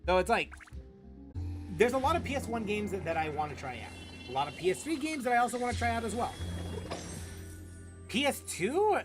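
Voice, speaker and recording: Animated high-voiced man, halting gamer chat. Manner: halting, repeats phrases for emphasis. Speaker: gaming streamer. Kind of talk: casual, rambling gameplay chat. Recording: game music behind.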